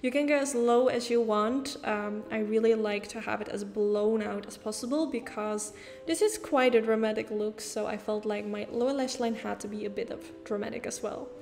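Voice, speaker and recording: A woman's voice: fairly high pitch, normal speed, gravelly, darkish tone, long reverb tail, soft background music. A woman from the Netherlands with a Dutch accent, talking casually through a makeup tutorial step by step. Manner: chatty, explains step by step with casual fillers. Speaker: beauty YouTuber.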